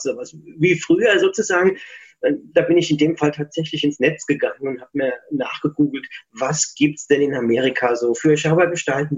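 Author chatting over a muffled line. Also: male voice, on the high side. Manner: relaxed, chatty, with frequent fillers. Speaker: book author. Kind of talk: interview over the phone. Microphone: phone line. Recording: muffled phone-call sound.

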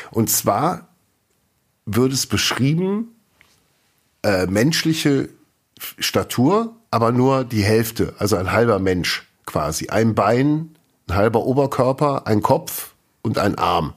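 A man co-hosting a podcast, relaxed and chatty, telling a folk horror story with lots of fillers. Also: mid-range voice, takes it slowly. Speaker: podcast co-host. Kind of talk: casual chat.

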